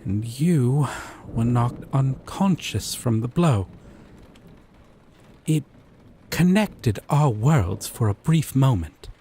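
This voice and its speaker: A man playing a gentle, possessive yandere boyfriend from a dating sim, speaking tenderly and coaxingly with deliberate pauses in a scripted roleplay. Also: mid-range voice, expressive, slight background noise. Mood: calm, explanatory. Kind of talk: scripted roleplay audio drama.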